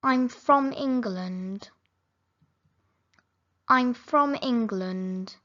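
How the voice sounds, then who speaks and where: A woman reading simple English phrases for learners, saying each sentence slowly and clearly, then repeating it. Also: on the high side, fairly bright tone, muffled recording. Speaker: English teacher.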